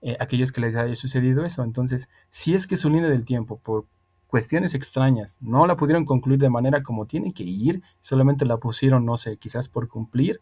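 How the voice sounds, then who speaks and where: A man's voice: medium pitch, fairly quick, dark tone, breathy edge. A man, an online English teacher explaining things patiently and emphatically, with plenty of repetition. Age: 30s. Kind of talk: explanatory tutoring, casual spoken instruction.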